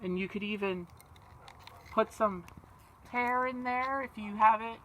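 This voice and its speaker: A woman reading a children's story and chatting, expressive, with simple child-directed phrasing. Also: fairly high pitch, unhurried, background noise. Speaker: story-time reader for children.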